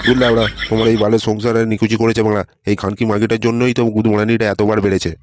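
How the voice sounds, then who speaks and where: A man's voice: on the low side, little pitch movement, very fast, a little hiss or hum, close to an everyday voice. A man voicing a foul-mouthed middle-aged husband in a comedy cartoon, coarse and mocking. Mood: indignant.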